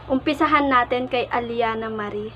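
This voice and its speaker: High-pitched Filipino woman vlogging. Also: normal speed, muffled recording, slight background noise. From Philippines. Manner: animated, giggly, informal. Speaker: vlogger, prank caller. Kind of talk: casual chatty vlog.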